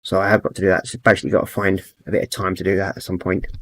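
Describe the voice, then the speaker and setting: A middle-aged man with a croaky throat, chatting easygoing and rambling as he hosts a livestream, reading out messages from chat. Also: sits low, level tone, very fast, fairly bright tone. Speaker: livestream host. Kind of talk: casual livestream chat while painting models.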